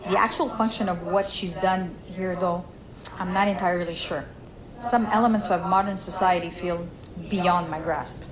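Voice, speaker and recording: A woman's voice: lowish voice, lightly acted, low-quality recording. Woman playing a composed, formal seer over crowd ambience. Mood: thoughtful. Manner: formal, measured phrasing. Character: composed formal female seer, knowing and faintly arch. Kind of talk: improvised tabletop roleplay dialogue.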